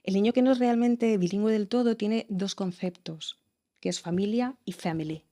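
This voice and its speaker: A woman's voice: mid-range voice, normal speed, dark tone. A woman from Spain explaining child bilingualism in an interview, thoughtful and hesitant with restarts. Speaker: education expert guest.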